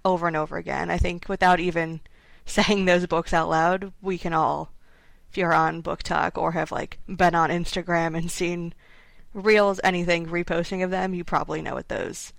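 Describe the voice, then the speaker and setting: A woman's voice: lowish voice, flat delivery, normal speed, gravelly, no echo. A woman co-hosting a podcast in casual discussion, with informal, loosely hedged phrasing. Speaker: podcast co-host.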